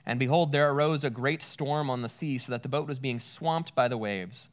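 A man preaches in a relaxed, conversational way. His voice sits at an ordinary middle pitch. He talks plainly while explaining scripture. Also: normal speed. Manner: conversational, explains Greek words with a folksy aside. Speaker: pastor. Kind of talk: sermon with scripture reading.

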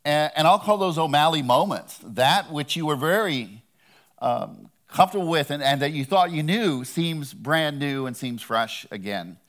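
A man's voice: on the high side, lively intonation, moderate pace, fairly bright tone. A man giving a sermon as a guest preacher, talking in a conversational, earnest way with touches of humor.

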